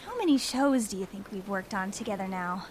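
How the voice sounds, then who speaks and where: An actress playing a breezy, earnest, oblivious young theater crew member in an audio drama. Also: female voice, mid-range voice, animated, a little fast, a little hiss or hum, close to an everyday voice. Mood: sunny, carefree.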